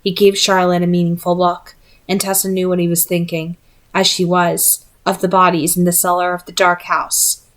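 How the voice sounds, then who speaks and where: A woman's voice: fairly low pitch, flat delivery, moderate pace. Woman reading a novel aloud. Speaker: audiobook-style reader. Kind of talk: scripted novel narration, read aloud.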